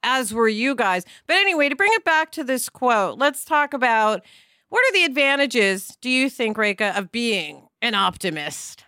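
A woman's voice: fairly high pitch, expressive, moderate pace, sharp tone, no echo, clean studio sound. A woman hosting a casual podcast chat, speaking emphatically and colloquially and addressing listeners directly. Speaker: podcast host.

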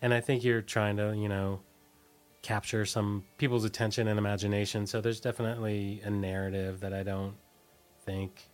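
Halting middle-aged man, lowish voice. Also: little pitch movement, soft background music. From Washington DC, United States. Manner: tentative, halting, understated. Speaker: interview guest, punk musician. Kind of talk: casual interview chat.

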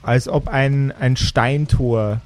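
A man narrating an improvised tabletop role-playing game as game master, descriptive and measured, pausing between phrases. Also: medium pitch, steady tone, a little mellow.

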